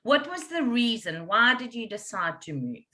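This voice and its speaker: A South African woman hosting a casual interview, chatty and lively and slipping into Afrikaans now and then. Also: on the high side, moderate pace. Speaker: interview host.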